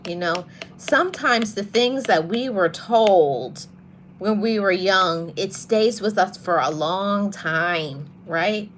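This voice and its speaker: A woman hosting a motivational podcast, talking to her audience in a soft voice and repeating her key phrases for emphasis. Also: medium pitch, slow pace, a little hiss or hum. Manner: greets live viewers mid-talk. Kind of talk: live-streamed motivational talk.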